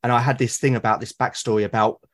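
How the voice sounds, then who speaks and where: A man with a British accent, a podcast guest talking casually and at length, often pausing to rephrase his thoughts. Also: mid-range voice, steady tone. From Britain. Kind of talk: casual conversation about solo roleplaying.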